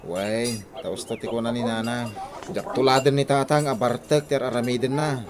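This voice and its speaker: A man's voice: medium pitch, steady tone, moderate pace, a crowd in the background, lightly acted. A man playing an easygoing, dutiful young graduate son in a radio comedy drama, with a Filipino accent. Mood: relaxed, joking.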